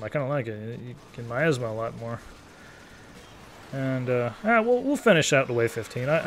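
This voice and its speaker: A man's voice: medium pitch, slight background noise. A man in his thirties giving casual, rambling gameplay commentary as a YouTuber, in an easygoing, take-it-or-leave-it manner. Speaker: gaming YouTuber.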